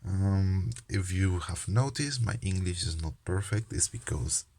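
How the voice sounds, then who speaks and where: A young Peruvian man with a Peruvian Spanish accent talks casually to his channel viewers. His voice sits very low, and he hesitates often, pausing on fillers and restarting his sentences. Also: a little mellow, a little hiss or hum. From Peru. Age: young adult. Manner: hesitant, many fillers and restarts, self-deprecating. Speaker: channel host, medical student. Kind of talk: casual card game video talk.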